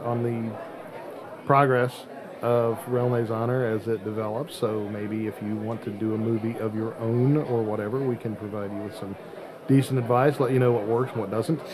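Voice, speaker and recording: A man hosts a casual podcast in a mid-pitched voice. There is clear chatter of other people behind him as he talks. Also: steady tone, moderate pace. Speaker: podcast host. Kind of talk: casual show hosting.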